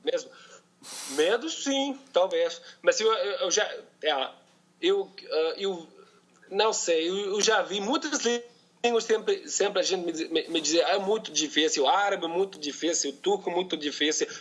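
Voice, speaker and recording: A man from Colombia with a Bogotá Spanish accent, a native Spanish speaker talking as a podcast guest in a second language, halting and searching for words. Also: sits high, a bit bright. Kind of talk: casual conversation in a second language.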